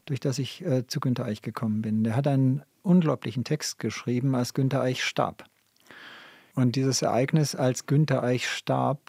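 A man speaking as a literary scholar in an interview, deliberate and emphatic, repeating phrases for weight. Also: medium pitch, dark tone, no echo, clean studio sound. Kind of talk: spontaneous interview answers.

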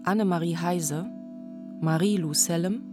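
A woman announcer reads scripted credits. Her voice is fairly low and her pace very slow. Music plays clearly behind her.

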